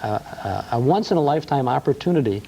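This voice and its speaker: Middle-aged man, gravelly, mellow tone, enthusiastic radio host, faint background noise. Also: mid-range voice, animated, fast talker. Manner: enthusiastic, storytelling, frequent uh fillers. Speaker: radio host and museum co-founder. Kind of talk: casual interview chat.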